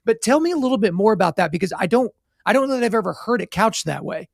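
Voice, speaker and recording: A man's voice: high voice, animated, fast talker, vocal fry, dry recording, clean studio sound. A man hosting an interview podcast, eager and outspoken, asking long rambling questions. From United States. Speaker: podcast host. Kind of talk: conversational interview.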